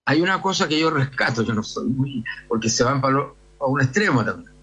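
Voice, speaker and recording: Husky older Chilean man, discursive. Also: mid-range voice, lively intonation, a little fast, fairly bright tone. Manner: discursive, often seeks agreement with tag questions. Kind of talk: conversational interview answers.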